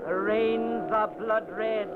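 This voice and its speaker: An actor playing a vengeful ghostly dead sister who speaks in slow, solemn, doom-laden pronouncements. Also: male voice, high-pitched, fairly even intonation. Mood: menacing. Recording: hissy tinny old radio broadcast.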